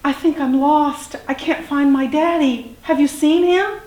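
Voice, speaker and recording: A woman's voice: high-pitched, fairly even intonation, unhurried, darkish tone, echoey room, pitched above the performer's own voice. A woman voicing a small, frightened, earnest boy dinosaur who pleads for help in a storybook tale. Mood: worried, lost. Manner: wailing calls, pleading.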